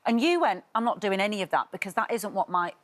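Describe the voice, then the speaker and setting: A woman with a Scottish accent hosting a morning TV interview, gently prompting her guest. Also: mid-range voice, lively intonation, quick pace. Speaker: TV host. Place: TV studio.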